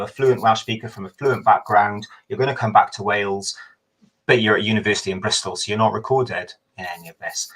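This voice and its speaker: A history professor talks through his subject over a video call. His voice sits low, and he speaks in a measured, thoughtful way, now and then restarting a phrase to correct himself. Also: a bit bright, poor audio. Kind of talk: explanatory talk in a webinar.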